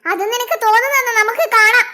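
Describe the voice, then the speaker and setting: Woman voicing a squeaky, feisty captive wife. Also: fast, pushed and bright. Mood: defiant. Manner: bold, taunting. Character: defiant, feisty kidnapped young wife. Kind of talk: amateur cartoon dub in Malayalam.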